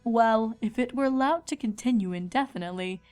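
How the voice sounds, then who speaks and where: A woman's voice: a little high, brisk, a little mellow, faint music behind, natural voice. A woman voicing a regal, placid pony princess who teaches patiently and delicately in a cartoon-style fan reading. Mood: patient, teaching.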